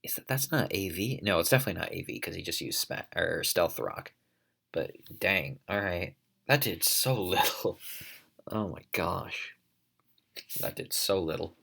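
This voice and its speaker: A man with a very low, gravelly voice calls a competitive online battle in loose play-by-play. He sounds congested, coughs now and then and hedges his calls as he thinks aloud. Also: animated, normal speed, pushed and bright. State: congested from allergies. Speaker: league commentator. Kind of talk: casual play-by-play game commentary.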